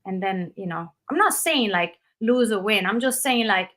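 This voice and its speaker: A middle-aged woman from Italy with an Italian accent, speaking in a relaxed, animated way with many fillers as a guest in an interview. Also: mid-range voice, moderate pace. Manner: animated, loose run-on phrasing with frequent fillers. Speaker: guest, actress. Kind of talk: casual interview conversation.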